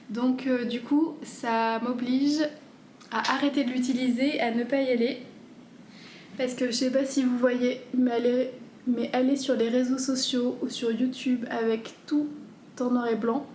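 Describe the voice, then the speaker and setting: A woman in her thirties chatting casually at home as she answers viewer questions, gentle and hesitant. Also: a little high, echoey room, a little hiss or hum. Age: 30s. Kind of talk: casual FAQ chat.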